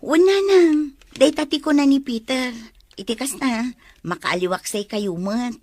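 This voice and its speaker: An actress playing an agreeable young daughter with an expressive, coaxing manner in a radio drama. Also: female voice, sits high, measured pace. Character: agreeable young woman persuading her mother.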